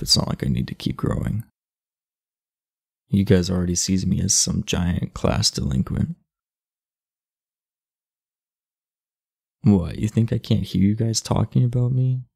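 Casting: man as aloof high school bad boy for ASMR roleplay, very gravelly low voice, blunt yet confiding. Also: darkish tone, full character voice. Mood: guarded, weary. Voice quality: gravelly, husky. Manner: laid-back, blunt, confiding. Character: aloof, muscular, blunt teenage class delinquent, secretly earnest. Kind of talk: intimate ASMR roleplay acting.

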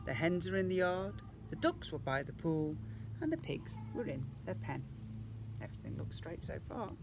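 A man, reading a children's storybook aloud outdoors in an expressive, deliberate way with drawn-out words. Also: a little high, gravelly. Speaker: school teacher reading to young children. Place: outdoors in a school garden. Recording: outdoor recording with machinery noise.

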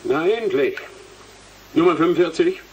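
A man's voice: on the high side, expressive, normal speed, darkish tone, lo-fi sound, a little hiss or hum, natural voice. A male actor playing a condescending mathematics dean in a German radio play, brusque and pompous.

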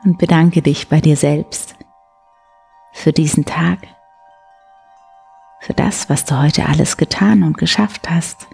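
Bedtime host, female, forties: slightly low soft voice, unhurried and soothing, gentle music behind. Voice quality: soft, breathy. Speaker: host of a bedtime story show. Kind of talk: guided sleep meditation, soft bedtime storytelling. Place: indoor room beside a wood stove.

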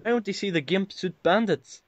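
A man's voice: sits high, brisk, dry recording. A man with a Northern Irish accent from Derry giving short, casual replies in a prank phone call. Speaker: prank caller. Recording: dull lo-fi phone call sound.

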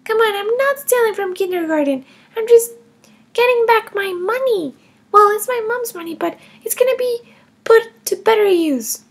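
A woman doing a bratty little kindergarten girl, high and gravelly. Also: animated, normal speed. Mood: defiant. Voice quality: high childish whine. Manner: sassy and stubborn. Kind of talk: comedy skit role-play.

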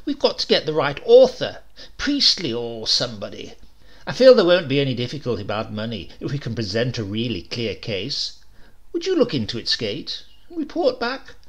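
A man voicing a brash male advertising executive who pitches loud, emphatic slogans. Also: huge pitch swings, moderate pace. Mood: persuasive.

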